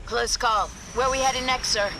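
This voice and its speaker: A woman's voice: a little high, fairly even intonation, a little slow. An actress playing a tough, defiant female supersoldier in a video game, talking in a terse, confident way. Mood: dry, eager. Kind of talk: video game cutscene acting. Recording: music and vehicle noise behind.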